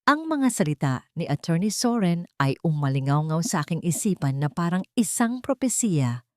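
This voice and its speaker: A woman narrating a scripted first-person drama in a measured, deliberate way. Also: lowish voice, sing-song, no echo, studio quality. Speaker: story narrator.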